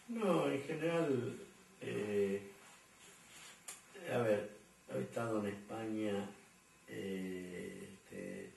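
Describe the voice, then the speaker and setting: A low-voiced man with an Argentine accent and a gravelly edge. He answers at length in a loose, rambling way, full of fillers. He sounds recorded from a distance in a very echoey room over a faint hum. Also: a little mellow. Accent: Argentine Spanish. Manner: rambling, many fillers and repeated words. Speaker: interviewee. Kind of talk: conversational interview answers.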